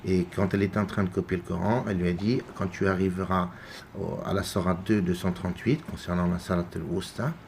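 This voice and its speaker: A man from France giving unscripted religious commentary, halting and full of repeated words and fillers. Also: sits low, fairly even intonation, darkish tone, slight background noise. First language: French. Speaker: religious video maker.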